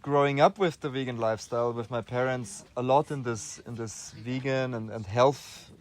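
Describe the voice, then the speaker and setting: A young man from Austria talks through his answers in a relaxed interview, his voice sitting at a middle pitch. He thinks out loud, restarting phrases and pausing on fillers as he goes. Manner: thoughtful, many restarts and fillers. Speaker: interview guest.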